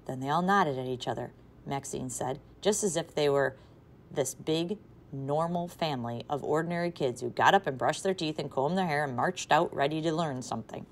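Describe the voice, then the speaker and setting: A woman teacher reading a chapter book aloud to her class in a lively, expressive way. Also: low-pitched, normal speed.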